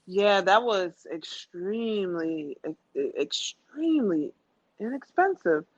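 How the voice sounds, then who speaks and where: A woman's voice: medium pitch, expressive, takes it slowly. A woman in a casual, conversational travel chat with a friend, often repeating phrases for emphasis. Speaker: podcast speaker. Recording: dull lo-fi sound.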